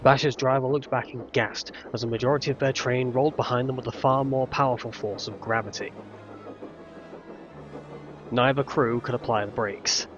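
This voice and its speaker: A man narrating a scripted storybook tale in measured phrases with dramatic emphasis. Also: mid-range voice, fairly even intonation, muffled recording, music in the background.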